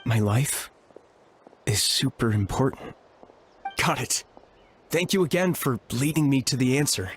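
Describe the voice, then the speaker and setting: A man's voice: mid-range voice, expressive, unhurried, long pauses, slight background noise, natural voice. An actor playing an earnest young male adventurer in a video game, anxious but resolute. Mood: resolved.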